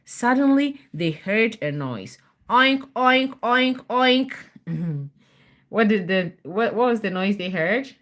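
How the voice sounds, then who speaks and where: Bright-voiced woman reading aloud, lively intonation. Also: mid-range voice, takes it slowly. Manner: encouraging, prompts child to repeat and asks questions. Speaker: mother reading aloud to child on livestream. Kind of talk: children's storybook read-aloud with casual chat to viewers.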